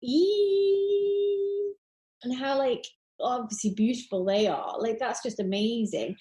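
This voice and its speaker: A woman's voice: sits high, takes it slowly, mellow. A woman chatting casually on a panel, rambling and gushing with enthusiasm. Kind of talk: casual chat. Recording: roomy, echoey sound.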